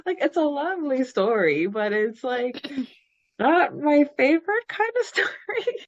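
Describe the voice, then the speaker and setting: A woman's voice: sits high, big pitch swings, a little slow, no room sound, highs cut off. A woman podcast co-host chatting casually and animatedly about a book, often acting out imagined dialogue. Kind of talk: casual book-discussion chat.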